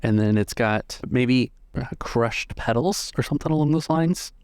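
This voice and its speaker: A man's voice: mid-range voice, normal speed, a little mellow, smooth, no echo. A man narrating scenes as game master of a tabletop roleplaying game. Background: music.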